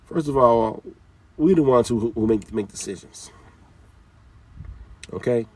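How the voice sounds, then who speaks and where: A man's voice: mid-range voice, unhurried, recorded from a distance. A man giving an informal, scolding talk with casual slang, often repeating his phrases.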